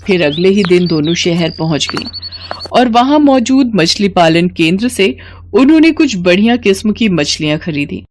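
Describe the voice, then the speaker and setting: A woman's voice: fairly low pitch, sing-song, normal speed, a little hiss or hum. A woman narrator telling a scripted cartoon story in storybook style.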